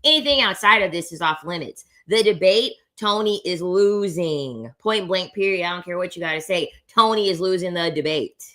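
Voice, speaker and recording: Bright, blunt woman reacting to a clip. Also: on the low side, animated, moderate pace, hardly any pauses. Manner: blunt and emphatic, with swearing and repeated phrases. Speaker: content creator reacting to a debate clip. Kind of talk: commentary on a played clip.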